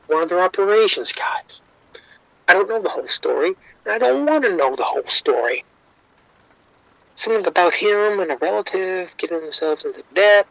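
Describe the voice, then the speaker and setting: A man's voice: high-pitched, vocal fry, muffled recording. An actor playing a streetwise male bookie informant, wry and defensive, talking around the point in an audio drama.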